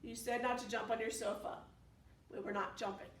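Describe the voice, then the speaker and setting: A woman's voice: a little high, fairly even intonation, brisk, natural voice. A woman voicing a group of playful little cows who innocently explain themselves. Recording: echoey room from far off.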